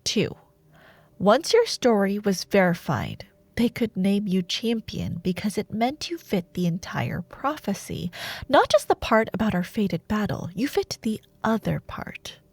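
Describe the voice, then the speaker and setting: A woman playing a taunting, imperious dark warlord sorceress in a roleplay audio drama, speaking in a theatrical, sardonic way with lingering pauses. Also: mid-range voice, sing-song, breathy edge, no echo, exaggerated voice. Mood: probing, smug.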